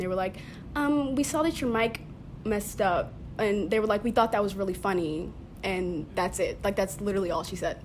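A woman's voice: medium pitch, a little mellow. A teenage woman telling stories casually as a student guest, peppering her talk with "like". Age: teens. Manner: youthful, filler-heavy talk with frequent "like". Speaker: high school theater student, podcast guest. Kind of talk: casual conversational storytelling. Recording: clear background noise, unpolished room recording.

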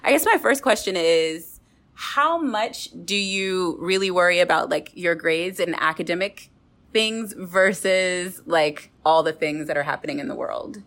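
A woman's voice: on the low side, measured pace, fairly bright tone. A middle-aged woman hosting a conversational interview, thinking aloud as she works through her questions. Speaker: podcast host.

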